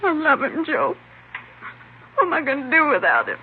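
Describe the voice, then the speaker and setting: A woman's voice: high voice, huge pitch swings, normal speed. An actress playing a distraught, pleading wife of a convicted man in an old radio crime drama. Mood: tearful despair. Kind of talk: 1940s-50s radio crime drama acting. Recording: noisy, narrow-band old radio recording.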